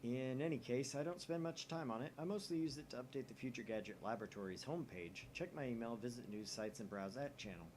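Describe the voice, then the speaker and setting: A man's voice: medium pitch, fast, hardly any pauses, noisy background. A man hosting a let's play, reading visual novel text aloud and slipping in playful, wry asides from home.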